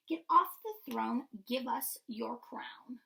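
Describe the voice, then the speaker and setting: A woman's voice: on the high side, animated, slow pace, creaky, pushed and bright, slight background noise, exaggerated voice. A woman voicing a defiant crowd of storybook animals in an emphatic chant. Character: defiant chorus of savannah animals.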